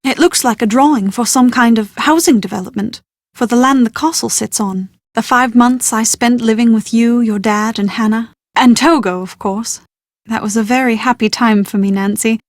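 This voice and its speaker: An anxious young British bride-to-be in a mystery video game, played by a woman. Her voice sits fairly high, and she speaks with genteel, articulate earnestness and a touch of drama. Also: normal speed, no echo, studio quality, natural voice. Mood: wistful, storytelling. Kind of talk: scripted video game voice acting.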